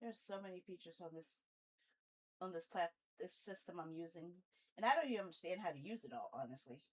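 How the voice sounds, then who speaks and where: A woman's voice: on the low side, expressive, brisk. A woman hosting a casual gaming livestream, talking in a rambling, candid, grumbling way. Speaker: video game livestreamer, host.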